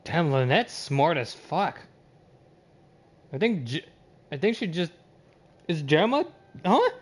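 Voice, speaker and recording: A man doing casual, profane live game commentary as a streamer, often sounding confused and reacting out loud. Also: on the high side, very animated, slow. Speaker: video game livestreamer.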